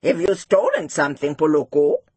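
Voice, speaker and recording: Storybook teacher, read by a woman: adult female, breathy husky tone, stern, sensible, authoritative. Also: deep voice, huge pitch swings, moderate pace, dark tone, no echo, exaggerated voice. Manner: reproving, authoritative.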